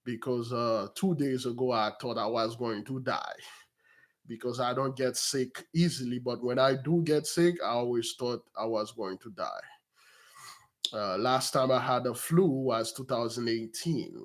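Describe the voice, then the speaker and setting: A man leads a religious livestream in a hoarse, congested voice of average pitch. He has a bad cold and addresses his followers in a formal, reverent way. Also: a little slow. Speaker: religious teacher hosting a livestream.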